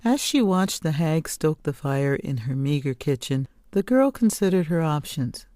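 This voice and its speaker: A woman narrating a scripted story as an audiobook reader, expressive and deliberate. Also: low-pitched, no room sound, clean studio sound. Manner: deliberate, measured.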